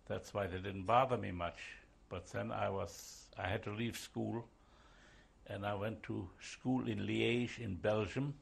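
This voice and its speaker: An older man in his seventies speaks in a gravelly, husky voice that sits low. He answers questions haltingly, with pauses and fillers. He grew up in Switzerland and Germany. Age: 70s. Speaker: interviewee. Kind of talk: oral history interview.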